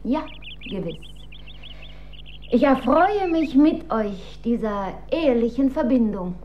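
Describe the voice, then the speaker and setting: An actress playing an imperious, manipulative dowager empress in a German radio drama, speaking in a formal, stately and measured manner. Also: female voice, fairly high pitch, lively intonation. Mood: stately, insistent. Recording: dull recording with faint noise.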